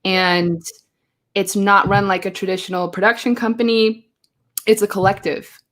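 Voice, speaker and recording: A chatty woman from Belgium, speaking at a medium pitch. She tells stories in a lively way and slips into quoted asides as a guest on a live show. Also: normal speed. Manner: chatty, animated storytelling with acted-out quotes. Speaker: guest, choreographer. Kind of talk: casual interview chat. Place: home, live stream.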